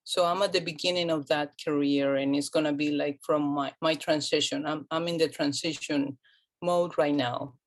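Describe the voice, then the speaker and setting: A woman from Colombia, talking as an interview guest, pausing often with ums and restarts. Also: low-pitched, steady tone. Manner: hesitant, many ums and restarts. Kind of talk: casual interview conversation.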